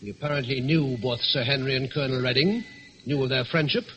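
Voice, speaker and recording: An actor playing a suave, quick-witted gentleman thief turned sleuth in a radio drama, polite, composed and persuasive. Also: medium pitch, close to an everyday voice. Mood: thoughtful. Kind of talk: old radio drama acting. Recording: dull lo-fi radio recording, faint hiss.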